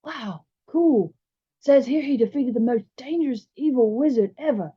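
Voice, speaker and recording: A woman's voice: high voice, animated, normal speed, breathy edge, pitched above the performer's own voice. Woman voicing a big, earnest, studious boy. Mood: earnest, curious. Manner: serious, eager to learn. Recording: slightly dull, lo-fi sound.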